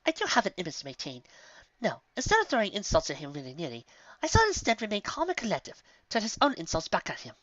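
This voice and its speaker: A woman's voice: mid-range voice, wildly swooping pitch, fast talker, a bit bright, dry recording, lo-fi sound, pitched above the performer's own voice. A woman voicing a dignified, composed female unicorn with refined manners in a storybook reading.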